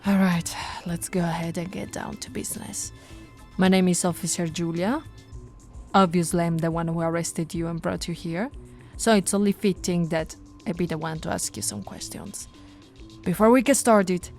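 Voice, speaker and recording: A woman's voice: on the low side, fairly even intonation, moderate pace, darkish tone, faint music behind, close to an everyday voice. Woman acting a flirty, firm policewoman. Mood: calm, professional. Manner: teasing, coaxing, authoritative. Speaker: voice actor in roleplay audio. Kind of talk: ASMR roleplay acting.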